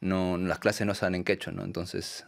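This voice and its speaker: Soft-spoken Peruvian man, low voice. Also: level tone, moderate pace, gravelly. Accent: Peruvian Spanish. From Peru. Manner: soft-spoken, hesitant, many fillers and tag questions. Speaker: documentary filmmaker, guest. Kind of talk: radio interview answers.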